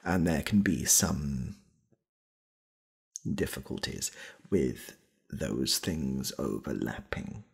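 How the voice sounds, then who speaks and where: A British man playing an awkward, needy shop worker in a comedy ASMR roleplay, speaking in a hesitant, stammering, repetitive way with a soft, husky, gravelly voice. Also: rumbling low, very animated, slow pace, pauses often, exaggerated voice. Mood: awkward, formal. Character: awkward, needy male shop worker clumsily propositioning a customer.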